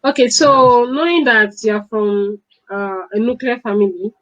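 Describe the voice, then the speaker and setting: A woman's voice: a little high, unhurried. A woman hosting an interview, chatting casually and often affirming her guest. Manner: encouraging, frequent affirmations. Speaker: interview host. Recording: dull, lo-fi sound.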